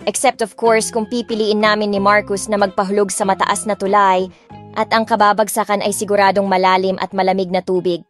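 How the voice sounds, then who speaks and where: A young woman narrating a first-person romance audiobook, expressive and switching between two languages. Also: medium pitch, fairly quick, faint music behind.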